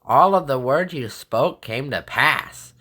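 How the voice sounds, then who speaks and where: A man's voice: medium pitch, lively intonation, slow pace, bright, forceful tone, full character voice. A man playing a kindly, earnest and welcoming governor in an audio drama.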